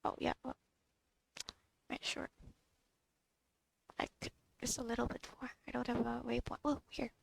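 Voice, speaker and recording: A woman's voice: fairly high pitch, flat delivery, normal speed, gravelly, pauses often, no echo. A woman giving casual, off-the-cuff commentary on a video game, murmuring half to herself. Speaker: gamer recording a playthrough. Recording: game music behind the voice. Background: video game music.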